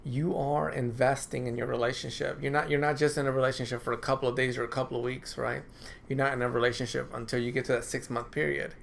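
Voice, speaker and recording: A man's voice: medium pitch, level tone, fast talker, creaky, slight background noise. A man who is a counselor, talking casually to camera from his office, often adding "right?" and repeating himself. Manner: conversational, repeats phrases, asks "right?" often. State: dry throat, clearing it. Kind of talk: informal talk to camera.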